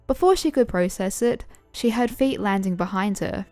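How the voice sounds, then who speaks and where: A woman narrating and hosting fan fiction audio in an expressive storytelling style. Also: mid-range voice, moderate pace, no echo. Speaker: narrator and host. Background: background music.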